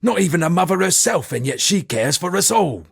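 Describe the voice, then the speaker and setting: An actor playing an eccentric shipwrecked sea captain and pompous amateur poet, speaking florid, dramatic game dialogue in a gravelly, husky voice. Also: male voice, sits high, sing-song, fairly quick, dry recording, studio quality, exaggerated voice. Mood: earnest. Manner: florid, dramatic, alliterative declaiming. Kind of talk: theatrical video game character dialogue.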